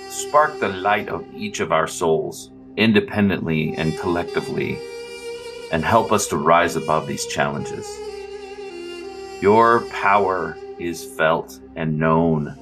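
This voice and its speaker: A man with a low voice plays a tabletop game, talking earnestly and at length. His pace is slow and measured, and string music plays clearly behind him. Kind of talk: casual chat and in-character roleplay at a game.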